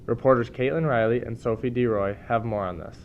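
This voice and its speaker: A man's voice: medium pitch, fairly quick. Muffled young male student news anchor, noisy recording. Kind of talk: scripted news narration. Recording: dull sound with clear background noise.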